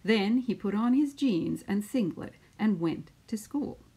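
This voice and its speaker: Gravelly-edged middle-aged woman reading to children. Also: mid-range voice, expressive, a little slow, dark tone, low-quality recording. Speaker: teacher reading a picture book aloud to pupils. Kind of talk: children's storybook read-aloud.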